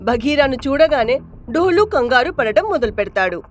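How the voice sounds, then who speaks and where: A woman's voice: high-pitched, lively intonation, normal speed, faint music behind. A woman reading scripted narration for a children's animal story.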